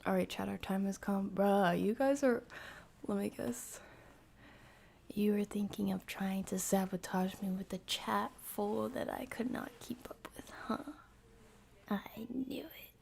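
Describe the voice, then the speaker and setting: A woman's voice: medium pitch, creaky, darkish tone, slight background noise. A woman streamer giving casual, playful commentary to a live chat, talking in internet slang. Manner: slangy, playful, drawn-out delivery. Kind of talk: casual livestream commentary, chatting with viewers.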